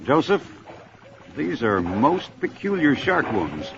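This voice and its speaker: A man's voice: medium pitch, big pitch swings, takes it slowly, gravelly, noisy background, exaggerated voice. An actor playing a genial older grandfather scientist on a 1970s children's adventure record. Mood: grave. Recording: muffled old record with music.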